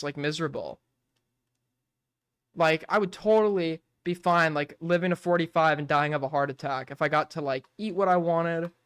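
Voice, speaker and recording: A man's voice: fairly high pitch, a bit bright, clear, resonant tone, dry recording, clean studio sound. A young man in his twenties chatting casually on a late-night stream, rambling and swearing, filling his talk with "like". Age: 20s. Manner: rambling, swears a lot, fills with "like".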